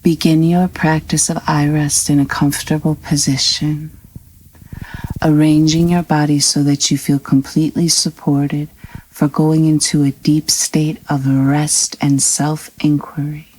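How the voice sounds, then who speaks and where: A woman with a low voice guides a scripted meditation. She speaks very slowly and evenly, with little rise or fall. Her manner is calm and soothing, with long pauses between phrases. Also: low-quality recording.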